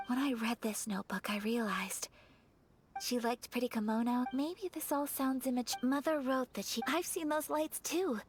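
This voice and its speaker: Gentle noblewoman, a woman acting, soft and formal, hesitant, trails off, slightly raised pitch, video game. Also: moderate pace, a little hiss or hum, lightly acted. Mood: reflective. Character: gentle young noblewoman, dutiful and graceful.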